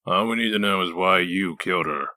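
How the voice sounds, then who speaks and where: A gruff comic-dub detective acted by a man, with a very low voice and a forceful edge. He is blunt, confrontational and sardonic, a wolf in human shape. The sound is dry and close. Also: brisk, no echo.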